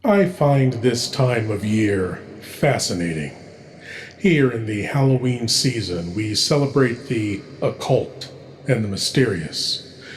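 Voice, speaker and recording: A man hosting a horror program, giving a slow, hushed and dramatic spooky introduction. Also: medium pitch, slight background noise. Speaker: horror show host.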